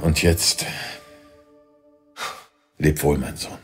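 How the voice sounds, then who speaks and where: An actor playing a regretful father saying goodbye to his son in an audio drama, speaking in low, hushed tones. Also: steady tone, drawn-out words, over music, exaggerated voice. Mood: sad farewell. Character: Rick's departing father, grave and regretful.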